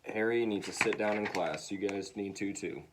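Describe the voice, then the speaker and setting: A man reading a children's story aloud in an expressive way, acting out sounds and dialogue. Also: on the low side, normal speed, a little hiss or hum.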